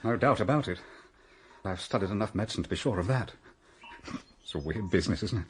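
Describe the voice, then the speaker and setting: An actor playing a blunt, excitable young upper-class English gentleman in a period radio drama, given to exclamations. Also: deep voice, fast, a little hiss or hum, close to an everyday voice. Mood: bewildered. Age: young adult.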